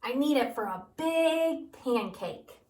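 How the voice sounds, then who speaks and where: An eager little boy from a picture book asks again and again for his breakfast, as a woman reads him aloud. The voice sits a little high. It sounds echoey and a bit distant from the mic. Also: expressive, takes it slowly, a little mellow.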